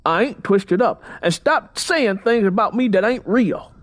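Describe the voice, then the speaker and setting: A man's voice: high voice, lively intonation, a little slow, pitched above the performer's own voice. A man voicing a volatile, growling young man in an audiobook story, barking combatively. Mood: defensive anger. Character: volatile, snarling, hard-drinking young man.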